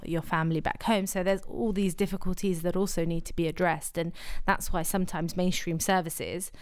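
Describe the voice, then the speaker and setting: A young woman from Afghanistan answering interview questions in a measured way, often pausing with fillers and restarting words. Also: fairly low pitch, clear, resonant tone.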